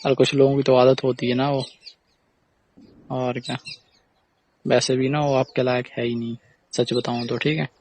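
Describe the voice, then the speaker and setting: A man's voice: medium pitch, flat delivery, normal speed, pauses often, darkish tone, close to an everyday voice. A man playing a reassuring, familiar friend in a casual phone-call drama, talking colloquially with frequent questioning tags. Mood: coaxing, advising. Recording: clear background noise on recording.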